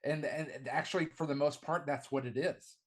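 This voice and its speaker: Man with a carrying, not-deep voice. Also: fairly even intonation, normal speed. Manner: enthusiastic, repeats words at the start of phrases. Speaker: wrestling commentator and ring announcer. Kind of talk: casual podcast interview.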